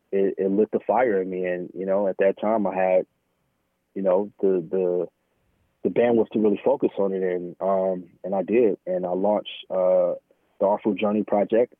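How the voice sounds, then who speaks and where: Husky American man over a phone-like line. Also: low voice, little pitch movement, dry recording. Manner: informal, halting, peppered with "man" and "you know". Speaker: interview guest, entrepreneur. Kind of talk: candid conversational interview. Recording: thin phone-like line, dry.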